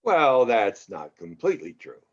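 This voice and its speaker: A man's voice: medium pitch, huge pitch swings, unhurried, husky, heavily acted. A man playing an entitled, menacing Hollywood producer in a drama table read. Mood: smooth. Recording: dull lo-fi microphone sound.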